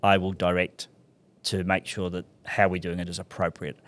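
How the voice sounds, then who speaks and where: A man talks easily about his long working life, often laughing at himself. His voice sits on the low side. He comes across as relaxed and good-humoured as a podcast guest. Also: normal speed. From Scotland. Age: 60s. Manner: chatty, self-deprecating, laughs often. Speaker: guest, commercial director. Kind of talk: casual podcast interview.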